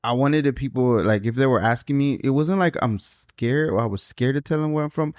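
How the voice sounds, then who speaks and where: A man from Mexico hosting a podcast, talking in a relaxed, conversational way and often nodding along with short affirmations. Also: medium pitch, a little fast, no echo, clean studio sound. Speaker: podcast host. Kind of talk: casual podcast interview.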